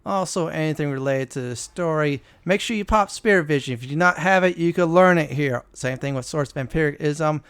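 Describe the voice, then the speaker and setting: A man's voice: fairly high pitch, fairly quick, few pauses, fairly bright tone, clear, resonant tone, no room sound. Casting: male gaming host, informal and instructive, very clean resonant tone, dry close recording. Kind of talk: casual game walkthrough commentary.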